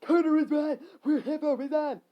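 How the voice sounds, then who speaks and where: A storyteller voicing hot-tempered skeleton henchmen who bicker and shout indignantly. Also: sits high, brisk, dull audio, pitched above the performer's own voice, lightly acted. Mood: angry bickering.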